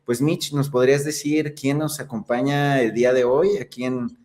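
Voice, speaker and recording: A man's voice: medium pitch, steady tone, moderate pace, a little mellow. Upbeat Mexican man podcast host. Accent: Mexican Spanish. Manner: upbeat, chatty, many fillers like "este". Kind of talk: podcast hosting.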